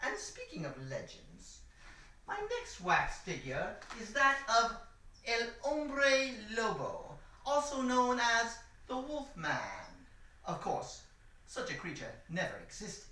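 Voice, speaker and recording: A woman plays a sly male villain professor in a comedy sketch, purring mock-polite lines that swing widely in pitch. The voice is bright and edged, heard from a little way off in an echoing room. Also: sing-song, slow, distant mic, heavily acted. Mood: suave. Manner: suave, theatrical, mock-polite with sudden menace. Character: sly male villain professor who runs a wax museum. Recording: roomy echo, voice set back from the mic.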